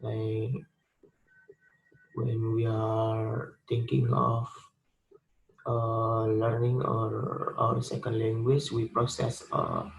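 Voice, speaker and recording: A man's voice: on the low side, completely flat delivery, very slow, vocal fry, a little hiss or hum, low-quality recording. A young man with an Indonesian accent, a student giving a spoken class presentation, hesitant, with many fillers and restarts. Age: young adult.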